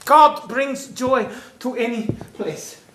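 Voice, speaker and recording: A man's voice: very high-pitched, slow pace, a bit bright, exaggerated voice. An actor in a stage play playing a devout, wistful imprisoned man in love, speaking in a dreamy, dramatic storytelling manner. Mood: awed, reverent. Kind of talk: theatrical stage-play monologue and dialogue. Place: stage, recorded with room echo. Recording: echoey stage with background noise and clicks.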